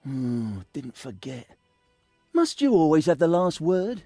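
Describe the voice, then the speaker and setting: High-voiced, wry cowardly thief. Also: male voice, very animated, takes it slowly, dark tone, no echo, soft background music, heavily acted. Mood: sullen reluctance. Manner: hesitant, sardonic. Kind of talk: fantasy game acting.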